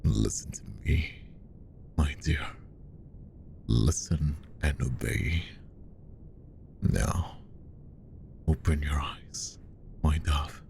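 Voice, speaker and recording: A man playing a possessive, menacingly tender magician hypnotist in roleplay ASMR, speaking softly and coaxingly, often slipping into whispers. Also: extremely slow, pauses often, a little hiss or hum, full character voice. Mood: soothing, commanding. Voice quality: soft, very deep, gravelly. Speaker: voice actor.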